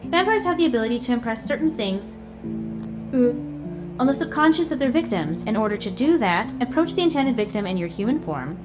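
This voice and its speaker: A woman giving casual, expressive gameplay commentary, reacting aloud and talking to the characters. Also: fairly high pitch, fairly quick. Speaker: video game streamer. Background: game music.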